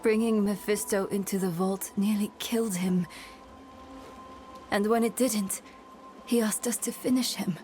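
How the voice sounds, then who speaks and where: Weary, resolute young female scholar, played by an actress, dark fantasy game, measured and slow, music behind. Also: medium pitch, steady tone, close to an everyday voice. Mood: grave, compassionate. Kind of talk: dramatic video game acting. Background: cinematic background music.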